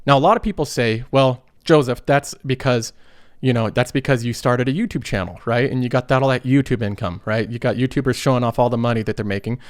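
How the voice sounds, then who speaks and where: A man's voice: mid-range voice, quick pace, dry recording, studio quality. A thirty-year-old man getting over a cold, explaining personal finance in an earnest, conversational monologue. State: recovering from a cold.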